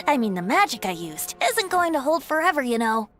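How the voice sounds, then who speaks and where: A woman's voice: high-pitched, big pitch swings, moderate pace, fairly bright tone, soft background music. A woman voicing a boyish, sly young male bard in a video game, with a flippant, teasing manner. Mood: sheepish. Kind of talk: video game character acting.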